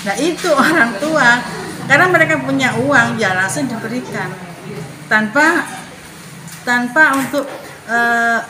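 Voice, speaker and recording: A woman's voice: a little high, a little slow, pauses often, a crowd in the background. A middle-aged woman, a preschool principal, explaining her views conversationally in an interview with many examples. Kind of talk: interview answer, explanatory talk. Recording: echoey room with clear background noise.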